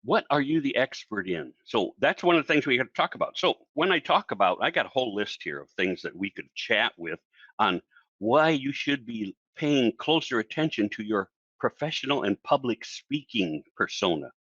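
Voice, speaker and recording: A man teaching public speaking in a casual solo video, talking in a chatty, animated way and acting out the voices of the people he quotes. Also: medium pitch, moderate pace, husky, no echo, lo-fi sound. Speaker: speaking coach.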